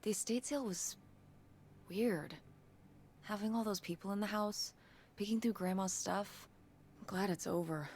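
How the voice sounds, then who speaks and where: An actress playing a wry, curious teenage daughter in a naturalistic video game style. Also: female voice, medium pitch, moderate pace, long pauses, darkish tone, slight background noise, lightly acted. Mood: subdued, uneasy.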